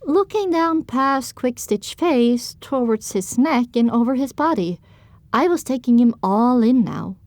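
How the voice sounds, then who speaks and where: High-voiced woman narrating an audio drama. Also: lively intonation, measured pace, darkish tone, no room sound. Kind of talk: first-person scripted audio drama narration.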